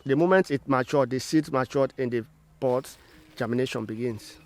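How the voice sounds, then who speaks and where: A man's voice: medium pitch, moderate pace, noisy background. Male instructor, mid-range voice, walking learners through a demonstration methodically in formal terms. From Sierra Leone. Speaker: agricultural trainer.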